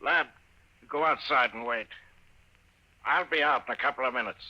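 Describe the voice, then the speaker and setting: An actor playing a gruff, vengeful old retired railroad detective in a 1950s radio comedy, speaking bluntly and grumbling. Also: male voice, mid-range voice, moderate pace, dull audio, natural voice. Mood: vengeful resolve. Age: older.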